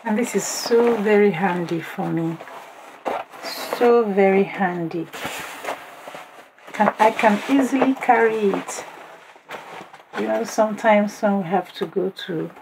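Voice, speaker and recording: A hoarse woman vlogger unboxing birthday gifts in a casual chat, exclaiming often and talking straight to her viewers. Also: medium pitch, slow pace, long pauses. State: hoarse, voice partly lost. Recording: noisy home recording.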